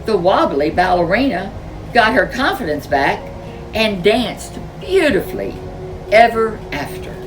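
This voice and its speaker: A woman's voice: mid-range voice, big pitch swings, a little slow, recorded from a distance, rough recording. A woman reading a children's storybook expressively as a storyteller. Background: music.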